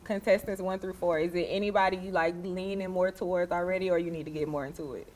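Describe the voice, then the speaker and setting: A woman's voice: fairly low pitch, fairly even intonation, quick pace, a little mellow. A woman co-hosting a podcast dating game, explaining rules and questioning the guest in an upbeat, giggly way. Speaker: podcast co-host.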